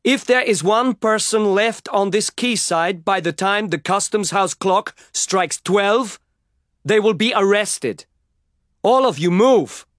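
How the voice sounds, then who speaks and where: A calm, firm young customs officer, voiced by a man, gives formal, measured commands. His voice sits noticeably higher than the reader's own. The sound is dry and close. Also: dry recording, exaggerated voice. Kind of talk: audiobook character voice.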